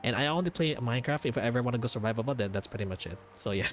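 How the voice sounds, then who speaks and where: A man's voice: mid-range voice, expressive, racing, faint music behind. A man chatting casually as a game livestreamer, informal and often repeating short phrases. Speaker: livestreamer, gaming vtuber. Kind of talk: casual stream chat during game playthrough. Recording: background noise on stream mic.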